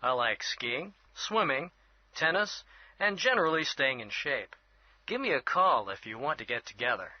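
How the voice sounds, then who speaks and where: A man's voice: medium pitch, big pitch swings, normal speed, no echo. A man in his thirties acting a sporty gym teacher introducing himself. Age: 30s. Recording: dull lo-fi audio.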